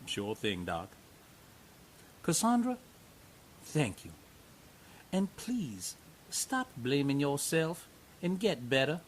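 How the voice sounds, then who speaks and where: An actor playing a kindly man visiting a patient, gentle and reassuring in his speech. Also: on the high side, sing-song, takes it slowly, long pauses, a little hiss or hum. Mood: warm.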